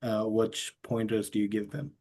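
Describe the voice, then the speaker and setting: Halting male podcast host. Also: lowish voice, little pitch movement, darkish tone. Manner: halting, with many fillers and restarts. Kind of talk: interview questions.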